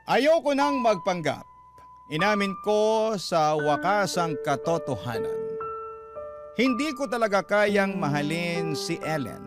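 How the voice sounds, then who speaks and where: Radio drama: confessional young dad narrating, earnest tone, slightly raised pitch, music bed. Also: male voice, very animated, takes it slowly, full character voice. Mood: sorrowful. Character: remorseful young father telling his story. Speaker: letter sender narrating.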